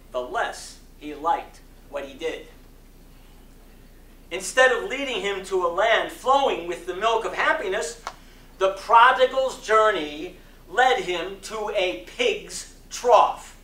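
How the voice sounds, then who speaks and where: A man delivers a sermon as a dramatic reading in a bright, forceful voice. His phrasing is very slow and deliberate, with impassioned oratorical emphasis. He is recorded from a distance in an echoey hall. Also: high voice, lively intonation. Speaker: reader of a sermon. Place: community center hall. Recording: distant mic in echoey room, faint noise.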